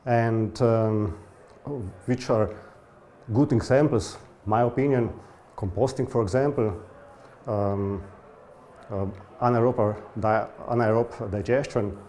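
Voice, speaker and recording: Man, mellow dark tone, hesitant, many fillers. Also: lowish voice, steady tone, slow pace, long pauses, slight background noise. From Croatia. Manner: searching for words, frequent fillers. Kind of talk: interview answer.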